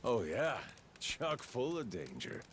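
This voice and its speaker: An actor playing a gruff, easygoing Yakuza man with amnesia in a video game, speaking in rough, teasing, folksy slang. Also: medium pitch, wildly swooping pitch, takes it slowly, fairly bright tone, slight background noise, full character voice. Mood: easygoing, wry. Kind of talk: video game cutscene acting. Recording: faint music behind the dialogue.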